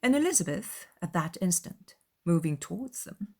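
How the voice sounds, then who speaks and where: Casting: female literary narrator, expressive intonation, slightly gravelly edge, dramatized novel reading. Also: on the low side, normal speed. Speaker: audiobook narrator.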